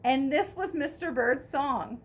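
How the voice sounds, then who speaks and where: A woman narrating a children's picture book aloud in an expressive storytelling style. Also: high voice, a little slow, a little hiss or hum. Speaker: storybook reader.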